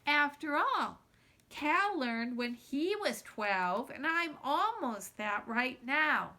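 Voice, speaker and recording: High, animated woman reading a children's story. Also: slow. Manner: animated, dramatizing dialogue and feelings. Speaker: children's book reader. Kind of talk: expressive read-aloud of a children's novel in a girl's first person.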